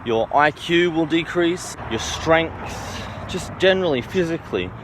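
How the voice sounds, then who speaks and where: A man's voice: fairly high pitch, expressive, unhurried, noisy background. A man around thirty giving an informal opinion monologue, emphatic and self-assured, repeating his points and often checking for agreement. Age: 29, turning thirty. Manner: emphatic, self-assured, repetitive, seeking agreement with "okay" and "right". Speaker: self-styled health and philosophy educator.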